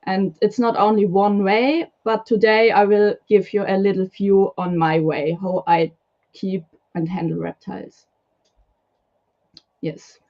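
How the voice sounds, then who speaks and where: Swiss german woman on a video call, talks slow, lots of ums, muffled sound. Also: medium pitch, steady tone. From Switzerland. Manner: hesitant, many fillers, apologetic about her English. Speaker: reptile keeper guest. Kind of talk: live stream interview.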